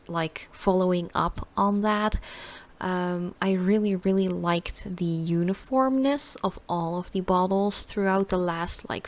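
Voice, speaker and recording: A young Dutch woman with a Dutch accent, chatting casually and rambling through a beauty unboxing vlog, with lots of fillers. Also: medium pitch. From Netherlands. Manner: chatty, many fillers, soft asides. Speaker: beauty vlogger.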